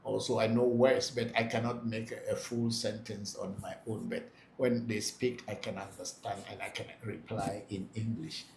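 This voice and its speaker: A man's voice: fairly low pitch, fairly even intonation, moderate pace, creaky, darkish tone. A man who is a Vodu priest hosting a live question-and-answer session, reading out questions and answering them plainly, often repeating himself for emphasis. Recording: echoey room, faint background noise.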